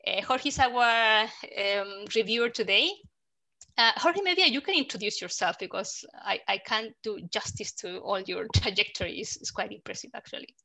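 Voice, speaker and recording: Woman host, bright voice, halting with many fillers, headset mic. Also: medium pitch, lively intonation, gravelly, rough recording. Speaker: host of a design community program. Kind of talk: hosting a video call session. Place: home room indoors. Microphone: headset mic on a video call.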